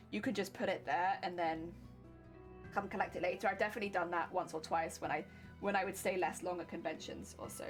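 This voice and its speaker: A woman chatting casually on a livestream, explaining her sewing steps as she works and laughing often. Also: medium pitch. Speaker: cosplay crafting streamer.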